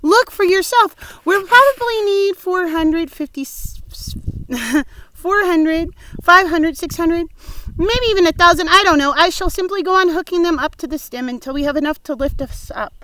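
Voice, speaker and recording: A woman voicing a confident young boy in a storybook reading, explaining his plan with excited insistence. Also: extremely high pitch, normal speed, no room sound, voice pushed up, rough recording. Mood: eager.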